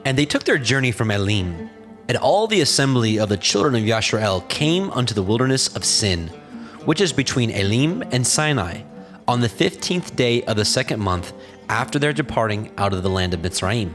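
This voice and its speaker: A man's voice: mid-range voice, big pitch swings, normal speed, a bit bright. A man narrating scripture from a script in a solemn, formal storytelling manner. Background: prominent music.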